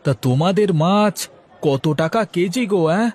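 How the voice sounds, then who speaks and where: A man's voice: sits high, lively intonation, normal speed, darkish tone. A man voicing a brash, nosy market customer in a cartoon, blunt and full of exclamations. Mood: interested. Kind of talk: cartoon voice acting.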